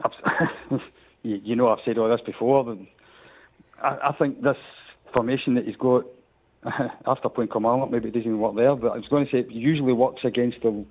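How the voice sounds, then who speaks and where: Brief: Scottish male caller, frank, fast, heard over a phone line. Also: medium pitch. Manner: frank, repeats himself as he talks. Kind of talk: phone-in chat.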